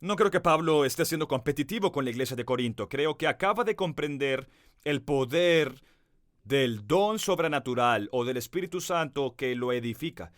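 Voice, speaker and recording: A man preaching a sermon as pastor. He speaks earnestly, talks straight to the listener and slows down to stress key words. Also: a little high, lively intonation, dry recording, studio quality. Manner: addresses the listener directly.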